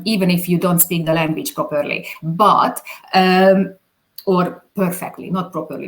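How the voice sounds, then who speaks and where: A woman's voice: on the low side, low-quality recording. A woman with a Hungarian accent, a non-native English speaker, answering interview questions hesitantly with many fillers. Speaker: guest, videographer.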